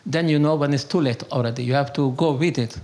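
An older Hungarian man speaks in a fairly high voice with a gravelly creak. His Hungarian accent is plain, and his English is halting and broken. He talks off the cuff like a storyteller and philosopher, repeating words for emphasis. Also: darkish tone. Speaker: interviewee telling his life story. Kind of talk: unscripted conversational storytelling and philosophizing.

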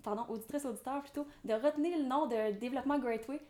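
A woman's voice: high voice, a little mellow. A woman in her twenties with a Québécois French accent, a guest speaking enthusiastically and motivationally in an interview. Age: 20s. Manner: enthusiastic, motivational, fast talking. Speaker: guest, real estate developer. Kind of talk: podcast interview.

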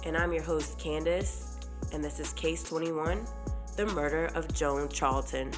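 Low-voiced woman with a stuffy cold. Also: fairly even intonation, measured pace, over music. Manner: casual, chatty reading with occasional ums. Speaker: podcast host. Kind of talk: true crime podcast narration.

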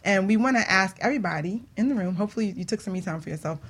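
A woman's voice: mid-range voice, expressive, racing, breathy edge. A woman co-hosting a casual group talk with playful, teasing banter. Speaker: talk show co-host.

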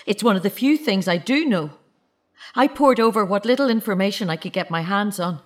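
A woman's voice: medium pitch, animated, a little fast, close to an everyday voice. A woman acting in an audio drama as a grieving, sceptical mother, terse and challenging with the man who killed her son. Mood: cold disbelief. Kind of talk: naturalistic radio drama acting.

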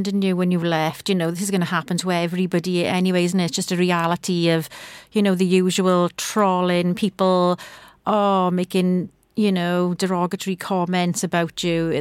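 A woman's voice: on the low side, clear, resonant tone, no room sound. A woman interviewing a guest in a chatty, gushing way, full of exclamations and tag questions.

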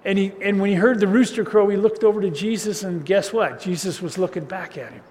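A man preaching a casual sermon as a pastor, with a loud voice and a loose, chatty style full of chuckles and restarts. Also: high voice, fairly quick. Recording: reverberant hall, faint ambient noise.

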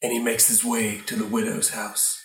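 A man narrating an improvised radio play in a halting, made-up-on-the-spot way. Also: fairly low pitch, completely flat delivery, fairly bright tone. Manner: halting, making it up as he goes. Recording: roomy echo, distant from mic.

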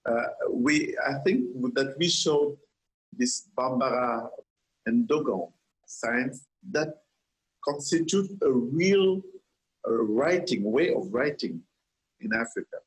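A man's voice: medium pitch, animated, slow pace, low-quality recording. A man from Senegal, an architect, answering interview questions earnestly, with frequent restarts and repeated words.

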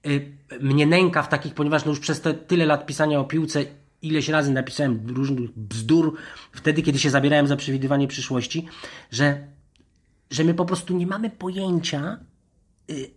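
A middle-aged man giving conversational football punditry as a panelist, haltingly, often breaking off and circling back to his point. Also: on the high side, fairly even intonation.

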